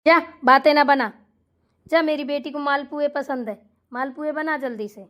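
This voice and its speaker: A woman's voice: sits high, normal speed, fairly bright tone. A woman voicing a bossy mother-in-law who dotes on her daughter and scolds her daughter-in-law in a family comedy cartoon. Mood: scolding, indignant. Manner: scolding, indignant, rhetorical questions.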